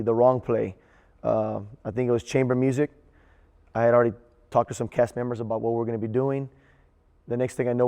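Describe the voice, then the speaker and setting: A man who directs drama giving a reflective interview in a halting, measured way. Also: medium pitch, mellow, clean tone, long reverb tail.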